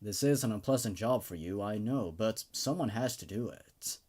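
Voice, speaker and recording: A man's voice: fairly low pitch, lively intonation, moderate pace, darkish tone, pitched below the performer's own voice. A man voicing a grimy male captor in a horror story, talking in a subdued, almost sympathetic manner. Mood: low-key, sinister.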